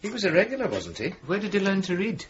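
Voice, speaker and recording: An actor playing a young, plain-spoken, fretful RAF officer with a Scottish accent in a radio play, talking in an earnest, terse way. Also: male voice, high voice, sing-song, quick pace. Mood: curious, deadpan. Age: young adult. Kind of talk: naturalistic 1980s radio drama acting. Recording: muffled, hissy old radio broadcast tape.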